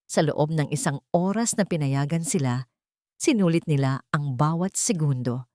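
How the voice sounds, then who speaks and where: A woman with a Filipino accent narrating a dramatic audiobook story in an expressive, theatrical way. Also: low voice, normal speed, dry recording, clean studio sound.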